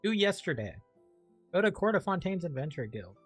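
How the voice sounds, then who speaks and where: A man's voice: high voice, very animated, normal speed, breathy edge, faint music behind. A man giving casual let's play commentary, thinking aloud in a hesitant, rambling way. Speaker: game streamer.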